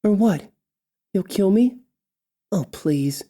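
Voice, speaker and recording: A defiant young elf woman talks back to her captor with cheeky sarcasm, as a man puts her on. The voice is pushed well up in pitch and stays mocking. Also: lively intonation, slow, mellow.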